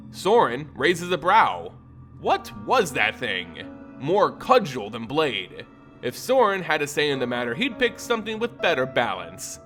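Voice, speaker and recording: A man hosting a lore channel, reading a scripted story in a theatrical, expressive way. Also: a little high. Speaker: host and narrator of a lore channel. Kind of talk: dramatic scripted story narration. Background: music under the voice.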